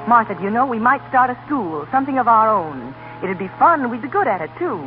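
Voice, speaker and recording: A woman's voice: on the high side, big pitch swings, brisk, narrow, tinny audio, soft background music. An actress playing an impulsive, sardonic young woman graduate in a 1930s radio drama, on a scratchy old broadcast recording. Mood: eager, urgent. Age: young adult.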